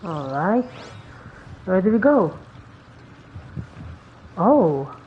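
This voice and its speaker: A woman giving casual game playthrough commentary, halting and muttering as she searches for words. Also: on the low side, big pitch swings. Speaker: game streamer. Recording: dull lo-fi mic, faint background noise.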